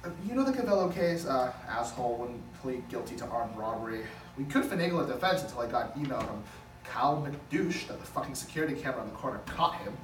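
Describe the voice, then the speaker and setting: A man's voice: medium pitch, expressive, quick pace, lightly acted. A man playing a defensive, spiteful lawyer with a sarcastic, profane manner in a comedic script read. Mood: groggy, irritated. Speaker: actor at a script table read. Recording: roomy, distant mic, faint noise.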